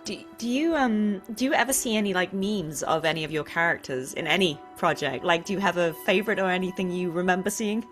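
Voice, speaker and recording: Female interview host, lowish pitch, casual conversational style, faint background music. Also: animated, brisk, few pauses.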